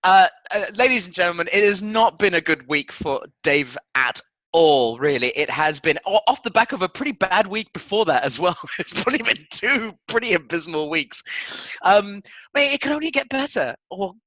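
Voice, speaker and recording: Lively, high-pitched male podcast host. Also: moderate pace, dry recording. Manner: teasing, jokey, theatrical. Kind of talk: casual sports banter.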